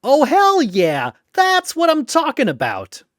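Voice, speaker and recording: Narrator doing a high, hyped cocky young mercenary. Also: huge pitch swings, slow, no room sound, clean studio sound, exaggerated voice. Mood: thrilled, cocky.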